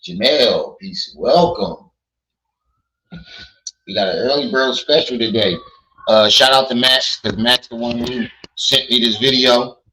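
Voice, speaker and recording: A hoarse man hosting a casual livestream, blunt and quick to laugh while greeting his chat. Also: mid-range voice, bright, forceful tone, slight background noise, poor audio. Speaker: livestream host.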